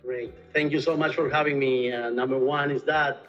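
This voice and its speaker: A man's voice: mid-range voice, steady tone, normal speed, highs cut off, faint music behind. A man from Argentina with an Argentine Spanish accent, answering interview questions hesitantly with many fillers and restarts. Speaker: real estate sales and marketing director.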